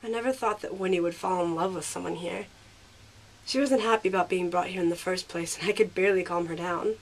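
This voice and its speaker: A woman playing a spirited, independent and caring teenage girl in an amateur audio drama, expressive and earnest. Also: on the low side, brisk, rough recording. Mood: warm, chatty.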